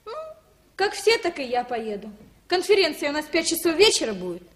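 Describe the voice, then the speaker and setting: A woman's voice: high voice, sing-song, brisk, a bit bright. A woman playing an outspoken, practical kindergarten teacher in a 1960s radio drama, blunt and no-nonsense. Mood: brisk, blunt.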